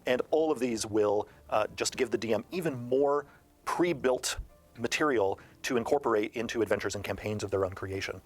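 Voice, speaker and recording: A man speaking as a game designer in an interview, explaining in detail with halting emphasis. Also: medium pitch.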